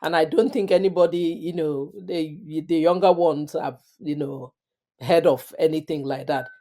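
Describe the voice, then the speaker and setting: A woman's voice: deep voice, moderate pace, dark tone. A middle-aged woman with an African accent, telling her career story in an interview in an earnest, emphatic way and repeating phrases for stress. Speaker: interview guest. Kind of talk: reflective personal storytelling.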